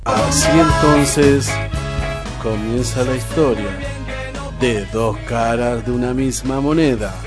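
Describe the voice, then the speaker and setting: A man narrating a radio play in a slow, solemn storytelling manner. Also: mid-range voice, lightly acted. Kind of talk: scripted radio drama narration. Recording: music under the voice.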